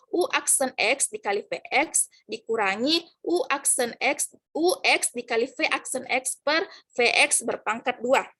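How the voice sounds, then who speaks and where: A woman's voice: a little high, bright, forceful tone, slightly rough. A young woman student giving a class presentation, reading formulas and definitions from slides with many hesitations and fillers.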